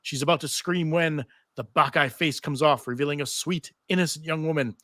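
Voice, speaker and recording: A man with a bright, slightly high voice narrates a script at a live table read. He is theatrical and wry, and the sound is dry and close. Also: fairly quick, no room sound. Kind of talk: live reading of TV script stage directions with asides. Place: live convention panel.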